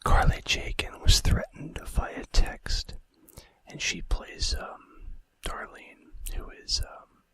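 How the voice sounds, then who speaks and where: A man narrates in a breathy whisper for an ASMR audience. His delivery is hushed and deliberate, like reading a script, with casual asides in the same unvoiced tone. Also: dry recording. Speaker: ASMR video host.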